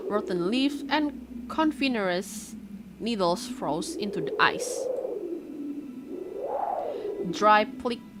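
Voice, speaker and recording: A young woman streamer whose first language is Indonesian, reading a visual novel aloud and breaking off to react and greet her chat. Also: medium pitch. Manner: reads the story dramatically, breaks off for excited reactions and greetings to chat. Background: game music.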